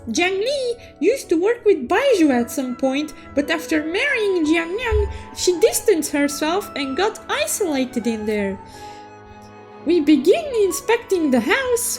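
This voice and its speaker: A woman voicing a cute, excitable young unicorn who recaps a story with playful, theatrical exclamations. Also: very high-pitched, exaggerated voice. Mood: intrigued. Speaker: storytelling host. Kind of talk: animated story recap narration.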